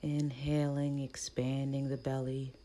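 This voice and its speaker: A woman guides a meditation in a very low, soft-toned voice. She speaks slowly and gently, almost hushed, pausing between short soothing instructions. There is faint noise behind her. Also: little pitch movement. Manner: gentle, hushed delivery with long pauses between short phrases.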